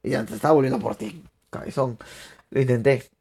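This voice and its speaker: A young man from Peru, chatting excitably to viewers through live gameplay commentary. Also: mid-range voice, fairly quick, husky. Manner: chatty, excitable, laughs often, addresses viewers. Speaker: streamer.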